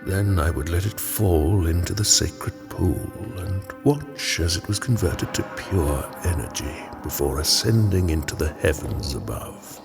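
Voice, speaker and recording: Devout, solemn plotter, played by a male actor: lowish, unhurried, theatrical audio-drama monologue under prominent music. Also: lively intonation, creaky, full character voice. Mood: reverent, wistful. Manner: theatrical, grave, deliberate monologue. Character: solemn, devout, brooding schemer in a prayer temple.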